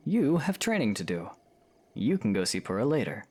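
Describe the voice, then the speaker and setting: A man playing a guarded young swordsman in an audio drama, serious and awkward, muttering and hesitant, with a gravelly, husky voice. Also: medium pitch, big pitch swings, normal speed, darkish tone. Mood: firm, serious. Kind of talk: audio drama character dialogue.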